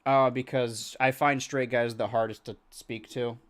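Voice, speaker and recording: A young American man with a monotone voice, chatting loosely while streaming a video game, rambling with many restarts. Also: mid-range voice, unhurried. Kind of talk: casual gameplay chat.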